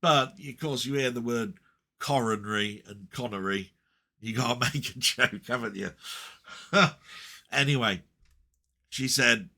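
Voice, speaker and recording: A man's voice: mid-range voice, a little slow, pauses often, bright, forceful tone. A man rambling through a personal vlog in a chatty, meandering way, with self-deprecating jokes. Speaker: retro gaming vlogger.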